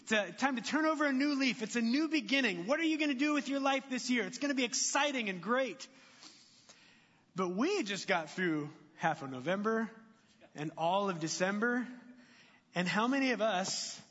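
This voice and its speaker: A man preaching a sermon in a relaxed, conversational way, joking and asking the congregation questions. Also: extremely high pitch, moderate pace. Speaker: pastor. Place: church sanctuary. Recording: muffled reverberant sanctuary recording.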